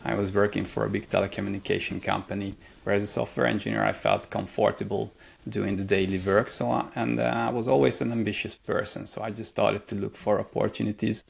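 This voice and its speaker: A man's voice: fairly low pitch, level tone, a little fast, gravelly, slightly rough. A man in his thirties from Hungary, speaking English with a Hungarian accent as a guest in an interview, answering thoughtfully with frequent hesitations. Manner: thoughtful, many hesitations and fillers. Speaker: guest, career mentor.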